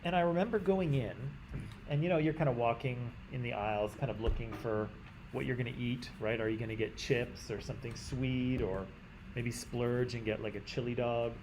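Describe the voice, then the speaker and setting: A Canadian man teaching a class in a conversational way, often checking in with "right?" and clearing his throat. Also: mid-range voice, fairly even intonation, normal speed, noisy background. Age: adult. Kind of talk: classroom lecture.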